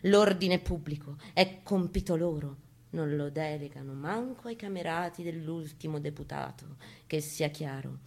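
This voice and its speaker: A woman's voice: deep voice, moderate pace, vocal fry. A woman gives an emphatic, theatrical reading of a first-person story. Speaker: reader at a live spoken-word reading.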